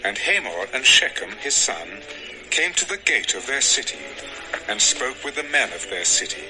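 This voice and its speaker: A man narrates dramatized scripture in a mid-pitched voice. His intonation is lively, and music plays clearly behind the scripted reading. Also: moderate pace, poor audio.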